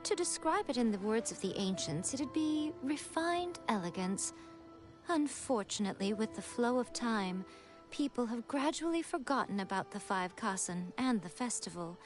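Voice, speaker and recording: A woman voicing a video game character, a poised and teasing authoritative lady with polished, deliberate, coy delivery. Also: on the high side, expressive, over music, lightly acted. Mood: poised, explaining. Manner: polished, deliberate, coyly theatrical. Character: poised, teasing, authoritative adult woman, shrine leader and publisher.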